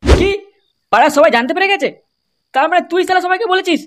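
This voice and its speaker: A woman's voice: very high-pitched, expressive, fast talker, heavy background noise. A woman voicing brash young village troublemakers in an amateur cartoon comedy, scolding crudely and swearing constantly. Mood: angry, scolding.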